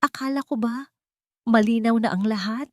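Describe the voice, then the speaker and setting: A female storyteller plays a wealthy older matriarch in a radio drama. She is haughty and domineering, with lines pitched up from the narration and thrown out as sharp, disdainful accusations. The recording is close and dry. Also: normal speed, dry recording, close to an everyday voice. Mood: accusing, indignant.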